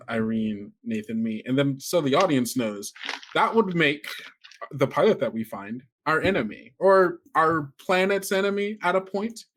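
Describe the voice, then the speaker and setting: A man's voice: a little high, fairly bright tone. A man casually hosting a tabletop roleplaying podcast in an informal, joking way. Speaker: game master and host.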